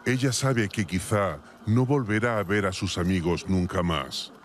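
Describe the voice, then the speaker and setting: A man reading a scripted news report in a measured, formal way. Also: sits low.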